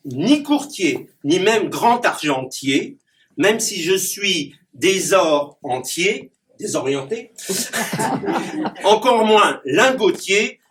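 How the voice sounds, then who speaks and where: High-voiced man reciting comic verse. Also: fairly bright tone. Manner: playful wordplay, chuckles at his own puns. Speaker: clown and poet. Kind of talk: reciting comic rhyming poems to a small gathering. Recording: distant mic with background noise.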